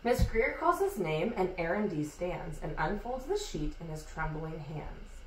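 A woman reading a rhyming children's picture book aloud in an expressive, measured storytelling way. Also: on the low side. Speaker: storybook reader. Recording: echoey room, distant mic, hissy noise.

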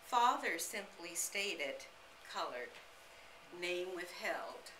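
An American woman aged sixty giving an earnest, deliberate autobiographical talk to a gathering as a guest speaker. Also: lowish voice, lively intonation, pushed and bright. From United States. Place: indoor living-room-style salon. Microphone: camera a few metres away. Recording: roomy, noisy recording from a distance.

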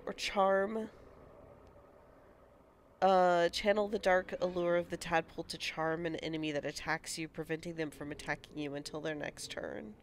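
A woman's voice: fairly low pitch, level tone, normal speed, a little hiss or hum. A woman with a stuffy cold voice, casually commentating a game and reading menu options aloud. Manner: reads game menus aloud and muses over options. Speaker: gaming channel host. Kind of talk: casual let's-play commentary.

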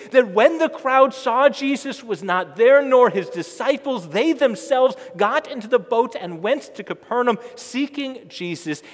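A middle-aged man preaching a sermon as a pastor, emphatic and repeating phrases as he swells and falls in volume. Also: high-pitched. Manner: emphatic, repetitive phrasing, swelling loud then dropping soft. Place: large church sanctuary, indoors. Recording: long church reverb.

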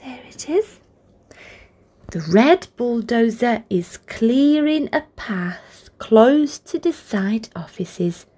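A woman reading a picture book aloud for toddlers, with deliberate, expressive phrasing and encouraging asides. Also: fairly high pitch, husky. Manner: deliberate, expressive phrasing aimed at small children. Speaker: children's storybook reader, channel host.

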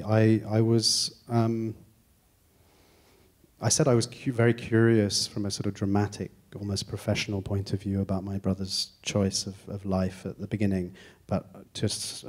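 A film director answers a question on a cinema stage through a handheld mic. His voice is low and quite flat, and he speaks thoughtfully, pausing and restarting as he searches for words.

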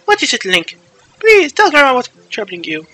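A man voicing a gentle, caring elderly grandmother in a video game story. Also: very high voice, wildly swooping pitch, normal speed, faint music behind, voice pushed up, full character voice. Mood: tender, concerned.

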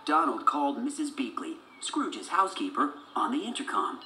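A man narrates a children's story with a very lively, expressive melody at middle pitch. The recording is roomy, and music plays behind him. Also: normal speed.

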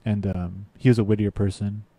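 A man chatting on a podcast as a band guest, telling stories in a lively way and acting out what people said, at a desk mic in a small studio. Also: on the low side, brisk, a little hiss or hum. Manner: animated storytelling, acts out quotes. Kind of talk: casual podcast chat. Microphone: desk mic with headphones.

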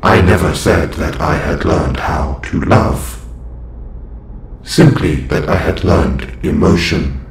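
Robot superhero played by a male actor, audio roleplay. Deep, formal, measured and composed, echoey space with faint noise. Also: exaggerated voice. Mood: firm, guarded. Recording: roomy echo, slight background noise.

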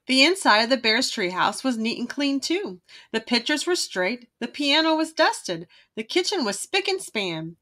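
A woman narrating a children's storybook aloud in an expressive, emphatic way. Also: sits high, moderate pace.

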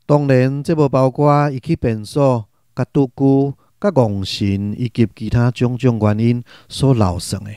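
A man's voice: mid-range voice, steady tone, a little slow, few pauses, mellow, clear, resonant tone, no room sound, clean studio sound, natural voice. A man with a Taiwanese accent narrating a literary radio drama from a script.

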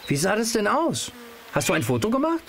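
A man's voice: sits high, wildly swooping pitch, fairly quick, gravelly, background noise, heavily acted. A man playing an eager, insistent teenage boy in an audio drama, speaking in a casual, pressing way.

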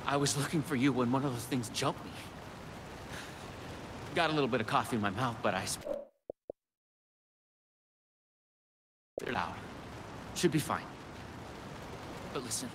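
An actor playing a middle-aged, paranoid, protective sheriff in a video game, halting and stammering. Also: male voice, natural voice. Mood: shaken, dismissive. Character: shaken, paranoid small-town male sheriff, protective. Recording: rumbling noise behind the voice.

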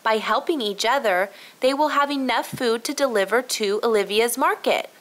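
A woman's voice: fairly high pitch, normal speed, fairly bright tone, voice pushed up, lightly acted. A woman voicing a helpful young girl in a children's storybook, explaining things earnestly. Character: bright, helpful young girl solving a mystery. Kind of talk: children's storybook read-aloud.

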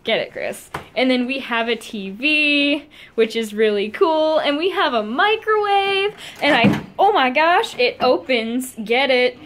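A young woman vlogging in a bubbly, giggly, excitable way, filming herself on a phone held at arm's length in a car and a small hotel room. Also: high voice, unhurried, hardly any pauses, a little hiss or hum. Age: young adult. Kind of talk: casual vlog chat.

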